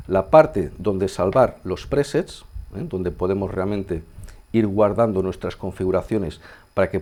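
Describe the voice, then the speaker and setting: A man's voice: lowish voice, a little mellow. A man with an accent from Spain giving an unscripted tutorial in a conversational, explanatory way, checking in with the listener as he goes. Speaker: sound engineer giving a synthesizer tutorial.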